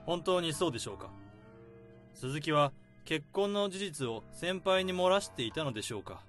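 A man playing a young office worker who calmly unravels a crime in a radio drama. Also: fairly high pitch, soft background music. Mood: accusing. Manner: calm, probing, deliberate.

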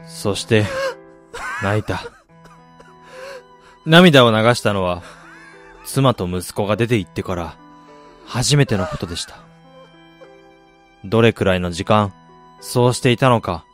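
An actor playing a weary, reflective middle-aged man in a radio drama, recounting his losses in a measured, confessional way. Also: on the low side, animated, faint music behind. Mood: sorrowful, resolute. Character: weary man who lost family, confessional, brooding. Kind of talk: Japanese radio drama acting.

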